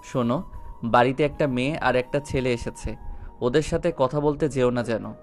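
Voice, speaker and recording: A man playing a protective, possessive young husband in a romantic audio story, measured and tender. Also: mid-range voice, natural voice. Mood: caring, firm. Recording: music behind the voice.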